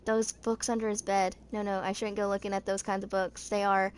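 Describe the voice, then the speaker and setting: A woman's voice: medium pitch, flat delivery, fairly quick, smooth, natural voice. A woman voicing an earnest older brother from a rich family who speaks in an admonishing, lecturing way. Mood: awkward, muttering.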